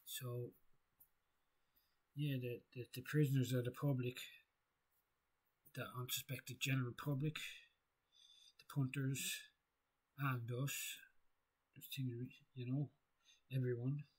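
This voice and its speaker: Guy rambling philosophically, kinda husky, stops and repeats himself a lot. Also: medium pitch, flat delivery, a little mellow, rough recording. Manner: halting, repetitive, thinking aloud. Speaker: video creator.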